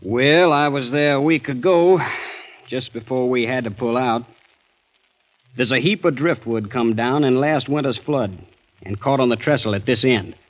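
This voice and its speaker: A man's voice: medium pitch, moderate pace, close to an everyday voice. Folksy Southern corporal played by a man, muffled recording. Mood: polite, matter-of-fact. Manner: deferential, folksy. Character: polite, weary Confederate corporal, plain-spoken country soldier. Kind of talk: 1940s-50s radio acting. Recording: dull vintage radio recording.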